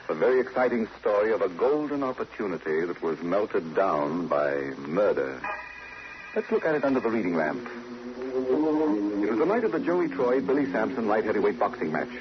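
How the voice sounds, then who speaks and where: A courteous, faintly eerie librarian introducing old radio mysteries. His lowish voice moves dramatically up and down with deliberate phrasing. The recording is muffled. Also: background noise. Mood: dramatic. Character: cordial, eerie male librarian of mystery club. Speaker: host and announcer. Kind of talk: 1940s radio mystery hosting, scripted.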